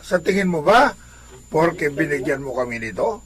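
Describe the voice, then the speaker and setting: A man's voice: a little high, animated, normal speed, crowd noise, close to an everyday voice. A man playing a skeptical, jobless neighborhood drunkard in a religious radio drama, with a scoffing, dismissive manner.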